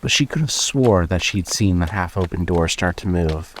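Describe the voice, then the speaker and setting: Guy narrating a horror story podcast, reading from a script, voice a bit on the low side. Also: expressive, moderate pace, a little hiss or hum.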